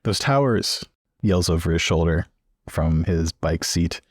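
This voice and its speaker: A man's voice: low-pitched, a little slow, a little mellow, dry recording, studio quality. A man playing a tabletop roleplaying game, moving casually between in-character talk and narrating what his character does.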